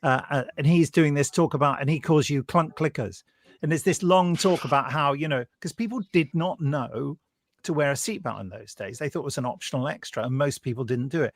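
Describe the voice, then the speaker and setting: British guy on a podcast telling stories, bit high pitched, dry sound. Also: brisk, no echo. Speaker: podcast co-host. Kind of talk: casual chat, recounting old films.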